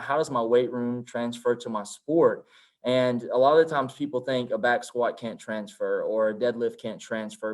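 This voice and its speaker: A man who is a strength coach, speaking emphatically in a roundtable discussion and asking rhetorical questions. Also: medium pitch.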